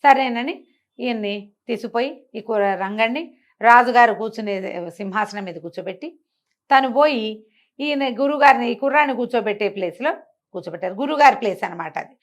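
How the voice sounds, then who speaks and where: A woman's voice: on the high side, brisk. A woman telling a moral folk tale aloud in a conversational, explanatory way, with acted dialogue and rhetorical questions. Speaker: storyteller. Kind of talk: animated oral storytelling of a moral folk tale.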